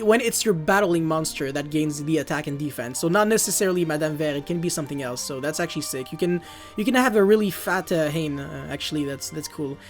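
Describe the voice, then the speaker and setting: Young French-native man, chatty and loose, fumbles names and laughs it off, home desk setup. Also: sits high. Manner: rambling, self-correcting, laughs at own slips. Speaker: card game youtuber. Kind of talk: casual deck profile walkthrough.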